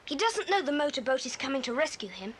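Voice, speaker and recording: Bold, high-voiced boy leader. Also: big pitch swings, fast, a bit bright. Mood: planning. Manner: decisive, commanding. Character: bold, bossy boy adventurer, leader of children. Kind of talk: children's adventure audio drama acting. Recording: faintly hissy old cassette tape.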